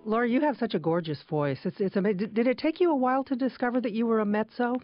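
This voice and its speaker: A radio host leading an interview with friendly, complimentary questions. The voice sits at a middle pitch. It is recorded dry and close, with a somewhat muffled broadcast tone. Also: fast, no echo, faint music behind.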